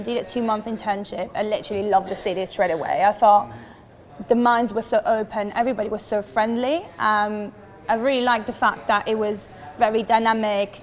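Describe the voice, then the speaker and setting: A woman's voice: fairly high pitch, in a large hall. A woman in her twenties from Switzerland, answering interview questions in an earnest, enthusiastic way, leaning on emphatic words. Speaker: interviewee, advertising professional. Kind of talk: talking-head interview answers.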